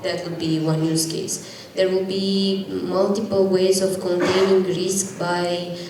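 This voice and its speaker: Low mellow woman in an echoey hall. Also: flat delivery, takes it slowly. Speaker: panelist. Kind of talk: conference panel discussion.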